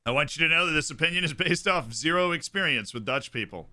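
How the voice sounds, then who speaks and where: Bright, sharp-toned young man. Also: fairly high pitch, animated, fast talker, a little hiss or hum. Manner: chatty, jokey, repeats words when thinking. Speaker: streamer host of a football watchalong. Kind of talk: casual chat reacting to a live match.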